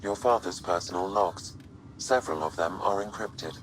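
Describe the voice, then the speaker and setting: An actor voicing a calm, literal-minded artificial intelligence in a video game, speaking formally with deadpan precision. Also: male voice, sits low, normal speed, a little hiss or hum, natural voice, rough recording. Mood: calm, factual.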